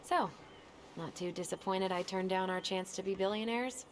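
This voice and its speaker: An actress playing a loyal, exasperated young American sister in naturalistic video game dialogue. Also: female voice, medium pitch, normal speed, a little hiss or hum, lightly acted. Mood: teasing, warm. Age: young adult.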